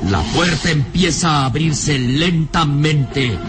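An actor as the storyteller of an adventure radio serial, narrating in a theatrical, suspenseful, carefully enunciated way. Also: male voice, fairly high pitch, slow pace, over music. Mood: tense.

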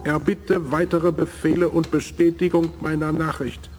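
An actor playing a formal, precise crew member reading out a military dispatch in a radio drama. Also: male voice, a little high, little pitch movement, darkish tone, soft background music, natural voice. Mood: official, measured. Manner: measured, official, precise. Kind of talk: 1980s German radio drama acting.

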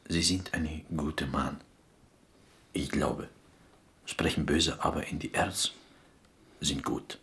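A man's voice: extremely low pitch, gravelly, bright, forceful tone, exaggerated voice. A man playing a timid, pleading Greek prisoner in a radio play, half-whispering broken German with a Greek accent. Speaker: actor in a radio drama.

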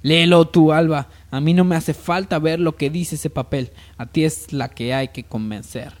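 A man playing a self-assured, dismissive wealthy heir and boss in an amateur radio soap opera, brisk and commanding. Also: fairly high pitch, natural voice. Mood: impatient.

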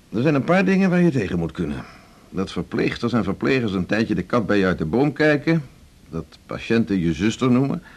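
An actor in a 1980s Dutch radio drama playing a blunt, teasing middle-aged senior doctor, brusque and bossy. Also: male voice, fairly low pitch, darkish tone. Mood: blunt, wry.

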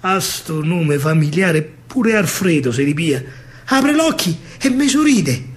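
A man's voice: fairly high pitch, very animated, takes it slowly. A man with a Roman accent performing a dramatic verse monologue as a storyteller, declamatory and emphatic. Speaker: actor and storyteller. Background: music.

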